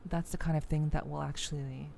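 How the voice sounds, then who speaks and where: A woman streamer giving reflective, casual commentary to viewers, deliberate and emphatic, often repeating phrases for weight. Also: low voice, fairly even intonation, gravelly. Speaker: streamer hosting a game playthrough. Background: music.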